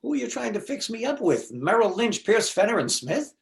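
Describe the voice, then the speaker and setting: Male actor as meek, lovelorn sad sack for stage comedy; high voice, self-deprecating, stammering delivery. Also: sing-song, fairly quick, creaky, exaggerated voice. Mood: reluctant, defensive. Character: neurotic, self-pitying jilted husband, meek and lovelorn. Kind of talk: stage comedy readers theater.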